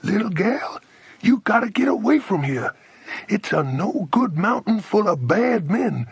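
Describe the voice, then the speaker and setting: A male narrator plays a hoarse American fugitive in a children's audiobook. The voice is husky and lifted high, pleading and urgently warning, with a dry, close sound. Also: big pitch swings, unhurried, no room sound, full character voice. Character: frightened, friendly American man hiding in a tree, urgent warnings.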